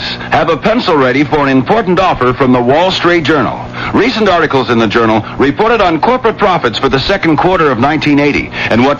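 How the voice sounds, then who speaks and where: Higher-pitched man announcing a radio ad. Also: fairly bright tone. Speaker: commercial announcer. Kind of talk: scripted radio ad read. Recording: dull old radio, faint noise.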